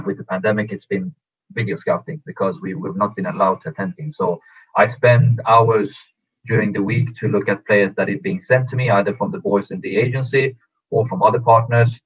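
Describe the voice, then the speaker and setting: A man who grew up in Sweden, a football agent answering interview questions in a measured way with many fillers and restarts. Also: fairly low pitch, breathy edge. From Iran, raised in Sweden. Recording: tinny phone-like audio.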